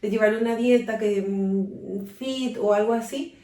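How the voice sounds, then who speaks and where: A young woman with a Paraguayan accent chats casually as a podcast co-host. Her voice sits at an ordinary pitch. The recording is roomy and echoey, a little far from the mic. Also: fairly even intonation, measured pace, mellow. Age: 20s. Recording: roomy echo, distant from the mic.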